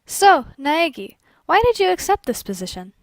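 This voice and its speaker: A poised young aristocratic lady played by a woman in a fan fiction reading. Her voice is high and closely recorded with little room sound. She speaks formally and with measured, genteel composure. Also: sing-song, no room sound. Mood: poised, curious. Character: composed, elegant young gambling lady in Gothic dress.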